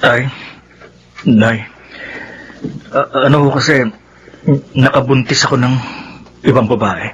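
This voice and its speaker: An actor playing a remorseful unfaithful husband who speaks hesitantly, in a radio drama. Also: male voice, medium pitch, fairly even intonation, measured pace, long pauses, fairly bright tone, husky, muffled recording. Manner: hesitant, stammering, confessing.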